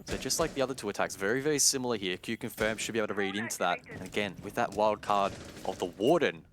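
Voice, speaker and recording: A man's voice: lowish voice, fast talker, fairly bright tone, a little hiss or hum. A man giving live esports commentary as an analyst, explaining play in an analytical way. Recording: music behind the voice. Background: music.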